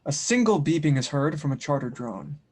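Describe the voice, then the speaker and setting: A man's voice: a little high, big pitch swings, brisk, darkish tone, husky. A man reading scene directions as narrator at an audio drama table read, in a dramatic scripted style.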